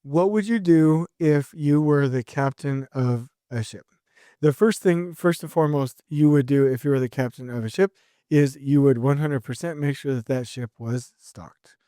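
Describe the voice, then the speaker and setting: A man's voice: mid-range voice, normal speed, smooth, dry recording, clean studio sound. Upbeat male podcaster, slightly scratchy throat. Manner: energetic, rambling, many restarts. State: sore throat, a bit scratchy. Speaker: podcast host. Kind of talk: solo podcast monologue.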